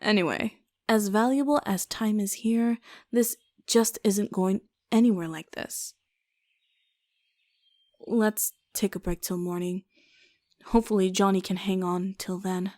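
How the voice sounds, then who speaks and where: Sardonic, impatient female doctor for game fan dub, woman acting: mid-pitched, dry sarcasm, no room sound. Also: fairly even intonation, measured pace, a little mellow, no room sound, natural voice. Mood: weary, sarcastic.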